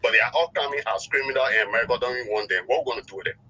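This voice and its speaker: A man's voice: medium pitch, rapid-fire, pushed and bright, husky. A man with a Liberian accent phoning a talk show, giving emphatic, impassioned political commentary and repeating phrases for effect. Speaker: phone-in caller. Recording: dull lo-fi call audio.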